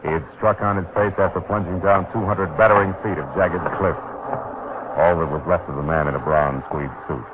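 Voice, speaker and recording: Actor as a deep-voiced hardboiled American radio detective. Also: male voice, steady tone, fairly quick, background noise, natural voice. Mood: wry, tense. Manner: clipped, commanding, slangy. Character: tough wry male detective narrating his case. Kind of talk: 1940s-50s radio noir acting, hardboiled narration. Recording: tinny old radio recording with music.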